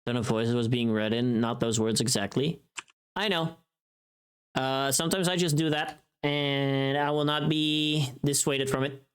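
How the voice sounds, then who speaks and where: A woman's voice: a bass voice, little pitch movement, measured pace, clear, resonant tone. A woman livestreamer chatting casually in a playful, teasing, informal way, often thinking aloud and trailing off.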